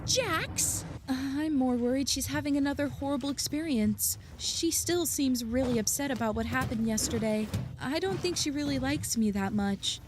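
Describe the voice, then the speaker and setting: A woman voicing a kind, anxious rag doll in a cartoon fan dub, gentle and nervously upbeat. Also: high-pitched, expressive, normal speed, hardly any pauses, heavy background noise. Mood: worried. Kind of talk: animated series fan dub.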